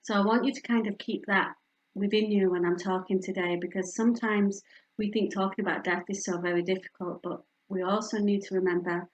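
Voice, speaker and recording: A woman's voice: medium pitch, fairly even intonation, fairly quick, low-quality recording. A middle-aged woman from the north of England, her Yorkshire accent plain, talks to a community group in a candid, personal way. She leans on fillers and restarts as she tells her story. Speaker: speaker from a hospice charity. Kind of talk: community talk with slides.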